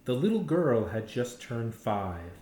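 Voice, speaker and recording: A man narrates a children's storybook like a teacher reading to a class. His delivery is expressive, with wide swings in pitch. The room adds clear echo. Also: unhurried. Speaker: educator reading a picture book aloud. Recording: echoey room.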